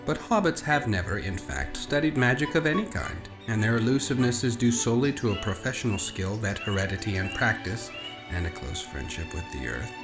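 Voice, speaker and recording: A man narrating a storybook in a measured, expressive, scripted audiobook style. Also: on the low side. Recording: roomy echo under orchestral music. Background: orchestral music.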